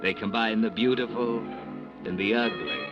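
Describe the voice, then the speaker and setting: An American man playing an ominous, mysterious all-knowing narrator in a 1940s radio mystery, deliberate and portentous. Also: on the low side, lightly acted. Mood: grave, dramatic. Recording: muffled old radio, loud music behind.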